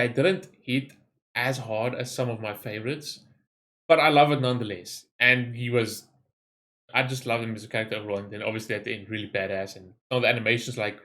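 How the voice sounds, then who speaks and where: A man's voice: lowish voice, steady tone, a little fast, bright, forceful tone. A man hosting a reaction video, giving informal, enthusiastic commentary in casual language. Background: game trailer soundtrack.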